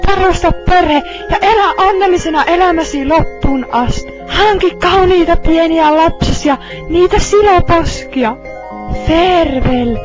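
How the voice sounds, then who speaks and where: A woman's voice: very high-pitched, muffled recording, over music. A woman voicing an anguished anime heroine in a melodramatic, pleading amateur fan dub. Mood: wistful farewell. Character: anguished anime heroine fighting off inner evil.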